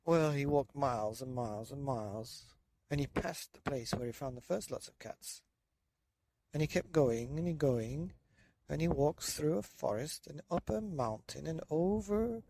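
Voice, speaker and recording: A man reading a children's bedtime story in a loose, dramatic way with drawn-out emphasis. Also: a little high, animated, vocal fry, dark tone, poor audio. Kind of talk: children's story narration, partly improvised.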